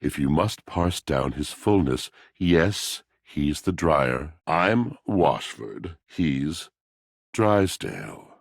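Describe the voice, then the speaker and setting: A tormented, poetic washing machine for a video game. The male voice is extremely low, with heavy gravel and a husky rasp. He speaks in a florid, brooding, theatrical way, like a lovelorn tragedian. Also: sing-song, very slow, no echo, clean studio sound, heavily acted. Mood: anguished, wistful. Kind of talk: video game character voice lines.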